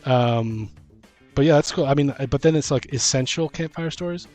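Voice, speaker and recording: A man's voice: mid-range voice, moderate pace, darkish tone. A man co-hosting a casual podcast about tabletop gaming, talking as a dungeon master in a rambling way, thinking aloud. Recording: music playing behind the talk. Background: music.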